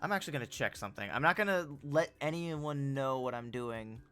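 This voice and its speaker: A man's voice: mid-range voice, animated, a little fast, sharp tone, smooth, soft background music. A man streaming a video game in casual chat, joking and complaining melodramatically.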